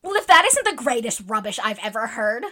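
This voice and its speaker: A woman's voice: a little high, animated, normal speed, fairly bright tone. A woman playing a brash, spirited, loyal teenage tomboy who speaks bluntly in an audiobook drama. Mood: indignant, scornful. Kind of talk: dramatized audiobook character acting.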